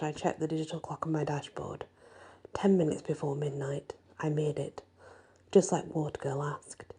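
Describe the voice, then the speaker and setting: A woman reads a first-person fantasy story in an ASMR style, her voice soft, husky and breathy. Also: deep voice. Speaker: audiobook-style story reader.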